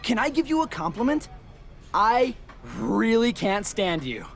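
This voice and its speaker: A woman's voice: a little high, big pitch swings, a little slow, soft background music. A woman playing a snide, taunting wrestling rival in a game, mock-friendly and cutting. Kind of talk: video game cutscene acting.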